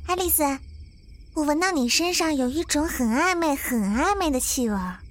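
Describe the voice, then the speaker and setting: A woman's voice: high-pitched, expressive, moderate pace, fairly bright tone. A woman voicing a frightened, whiny young survivor who pleads and panics in a zombie audio drama. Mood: pouting, teasing. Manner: pleading, girlish, prone to panic. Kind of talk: fan audio drama acting.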